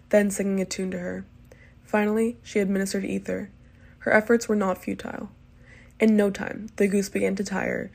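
A woman's voice: mid-range voice, creaky, darkish tone, breathy edge. A nineteen-year-old woman from California, giving a plain, unadorned dialect sample. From Palo Alto, California. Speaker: dialect archive speaker. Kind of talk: dialect sample reading and talk.

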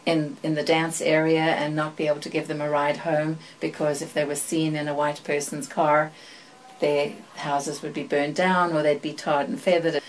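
An older woman from South Africa with a South African accent tinged by British and American sounds, reading a passage and then recalling her life in a measured, even way with thoughtful pauses. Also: low voice, slight background noise, poor audio. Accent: South African, mixed with British and American. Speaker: accent archive speaker.

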